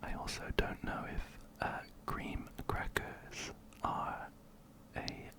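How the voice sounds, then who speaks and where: A man whispering gently and breathily in an ASMR game playthrough, up close to a binaural microphone, unhurried and softly repeating words. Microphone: close-up binaural microphone, ear to ear.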